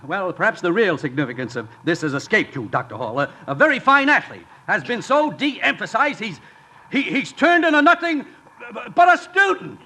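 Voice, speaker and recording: An actor playing a pompous, indignant college official who sputters and stammers, in 1950s radio sitcom style. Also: male voice, high-pitched, sing-song, moderate pace, background noise. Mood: huffy, flustered. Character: blustering, indignant male college official, self-important and flustered.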